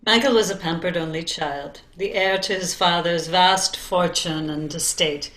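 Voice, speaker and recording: A woman narrator in a very roomy, echoing recording. She delivers scripted biographical drama with deliberate, expressive storytelling and theatrical emphasis on key phrases. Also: fairly low pitch.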